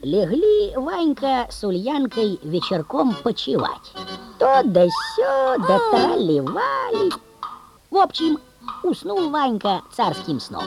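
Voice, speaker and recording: Female storyteller, slow-paced, folksy dialect, theatrical fairy-tale narration, music behind. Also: sits high, wildly swooping pitch, vocal fry.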